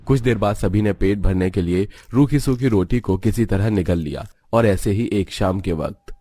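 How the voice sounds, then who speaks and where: A man's voice: on the low side, moderate pace, dark tone, dry recording. A man reading scripted narration for a cartoon family story.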